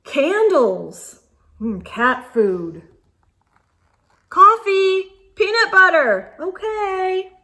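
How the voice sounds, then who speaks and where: A woman reading a picture book aloud to children, expressively, with gasps and hushed asides. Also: very high voice, very animated, extremely slow. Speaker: children's read-aloud storyteller.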